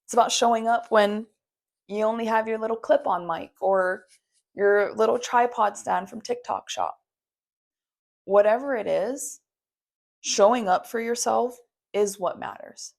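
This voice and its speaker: A woman's voice: on the high side, measured pace, vocal fry, long pauses. A young woman from Maui hosting her own podcast, talking in an earnest, affirming way and often adding "you know". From Maui, Hawaii. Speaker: podcast host and therapist. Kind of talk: heartfelt solo podcast monologue.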